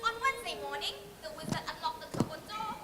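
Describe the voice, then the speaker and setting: Very high-voiced teenage girl narrator, Thai accent. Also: lively intonation, quick pace. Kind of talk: dramatic scripted story narration on stage. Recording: echoey stage with faint noise.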